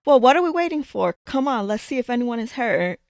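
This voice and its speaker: A woman's voice: a little high, sing-song, fairly quick, vocal fry, a little mellow, clean tone, dry recording, clean studio sound. A woman playing an eager, headstrong young Amazon princess in a 1940s-style radio serial, earnest and urgent. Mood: excited, urgent.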